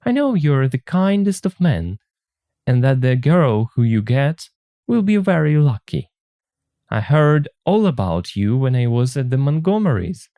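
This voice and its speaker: A man reading aloud the part of a polite, hesitant young city woman in a story, slowly and gently. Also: mid-range voice, big pitch swings, no echo, clean studio sound. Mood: gentle, warm. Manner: slow, gentle, deliberate. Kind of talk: story read aloud for learners.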